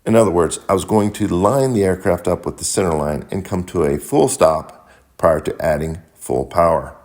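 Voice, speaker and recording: A man who works as a pilot and mentor, narrating a scripted explanation in a measured, instructional manner. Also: deep voice, a little mellow. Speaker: pilot and flight mentor, video host.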